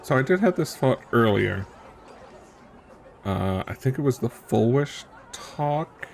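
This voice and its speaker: A man's voice: mid-range voice, big pitch swings, slow pace, gravelly, a little mellow, a faint crowd, slight background noise. A man giving casual commentary while streaming a game, musing and thinking aloud.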